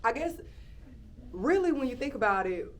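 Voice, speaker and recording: A woman interviewing a guest in a casual chat, speaking loudly and animatedly. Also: a little high, very animated, moderate pace.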